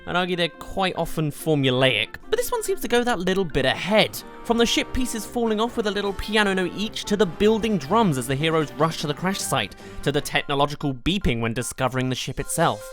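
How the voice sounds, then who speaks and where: Countdown-video narrator, expressive and emphatic, lively swings in pitch, music underneath. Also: a little fast, hardly any pauses. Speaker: video game reviewer, host. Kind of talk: scripted countdown review narration.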